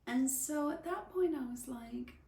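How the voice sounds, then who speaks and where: A woman's voice: high voice, measured pace, creaky, warm tone. A woman in her forties telling a personal story in a candid, conversational, emphatic way. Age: 40s. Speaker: storyteller, vlogger. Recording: roomy echo, faint background noise.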